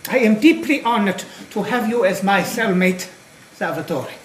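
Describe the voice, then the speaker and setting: A man playing a gentle, courteous German prisoner in a stage play, speaking politely and haltingly. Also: high-pitched, lightly acted. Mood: solemn, courteous. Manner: polite, halting, soft-hearted. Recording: echoey stage recording, clear background noise.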